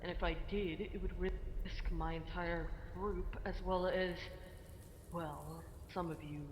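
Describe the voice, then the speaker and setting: A woman playing a wary, wounded dragon creature in an online roleplay, speaking haltingly and subdued. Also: lowish voice, steady tone. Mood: pained, guarded. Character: wounded, wary dragon-evolved Eevee, haunted by pain. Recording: echoey room, faint noise, voice chat.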